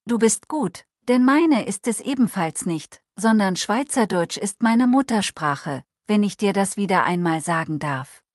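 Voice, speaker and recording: An outspoken, blunt Swiss man, read by a woman whose native tongue is Swiss German, holding forth in long formal sentences. The delivery stays level in pitch and earnest throughout. It is recorded close and dry, with no room sound. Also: animated, measured pace, hardly any pauses, darkish tone, no echo. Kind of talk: reading a transcribed conversation aloud.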